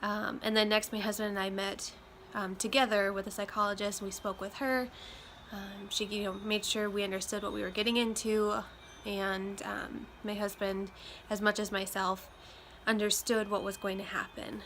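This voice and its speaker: A woman's voice: mid-range voice, little pitch movement, moderate pace, fairly bright tone. A young woman from Iowa, slightly nasal from a chest cold, chatting casually to camera with plenty of ums. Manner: chatty, many ums, loose and rambling. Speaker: vlogger. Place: home room with windows open. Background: faint road traffic and wind chimes.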